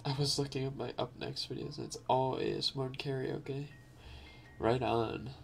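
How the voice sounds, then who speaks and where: A young man hosting an ASMR live stream, answering chat in a soft, half-whispered, slightly raspy voice and laughing often. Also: medium pitch, fairly even intonation, normal speed, soft background music. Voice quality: a little raspy.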